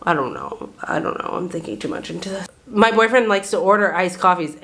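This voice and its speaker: A woman's voice: fairly low pitch, expressive, fairly quick, fairly bright tone. A woman in her thirties with a general American accent and a faint Boston lilt, rambling casually to camera with lots of "like"s. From Boston area, United States. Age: 30s. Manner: chatty, rambling, many "like"s, laughs often. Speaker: vlogger.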